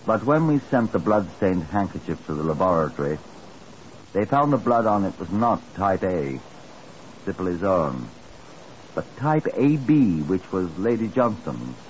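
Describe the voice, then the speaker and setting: A grave Scotland Yard chief inspector in a 1950s radio drama. His voice is deep and his measured delivery swings widely in pitch. The recording is muffled. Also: sing-song, a little hiss or hum. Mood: grave, narrating.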